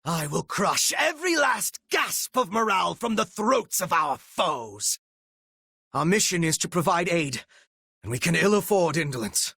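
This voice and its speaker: Game role: cold, devoted male strategist, raised pitch with animated swings, archaic formal phrasing, menacing rasp. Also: unhurried, no echo, studio quality, exaggerated voice. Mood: rousing battle command, then brisk command. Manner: formal, archaic, theatrical diction. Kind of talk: video game voice acting.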